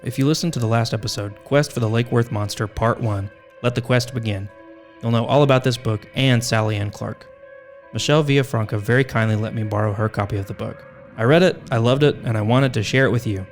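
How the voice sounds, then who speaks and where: A man's voice: medium pitch, a little fast, soft background music. A man hosting a podcast, reading scripted narration in a wry, tongue-in-cheek way. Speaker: podcast host.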